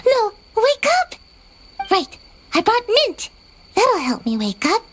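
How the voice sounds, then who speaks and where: A woman's voice: extremely high pitch, big pitch swings, slow, slight background noise, full character voice. A woman voicing a determined, slightly grumpy little witch girl in a video game, self-assured and childlike, often muttering to herself. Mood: sleepy.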